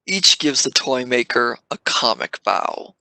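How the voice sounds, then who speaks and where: A man's voice: medium pitch, sing-song, measured pace, creaky, bright, forceful tone, slight background noise. A man reading scripted stage narration for an audio drama.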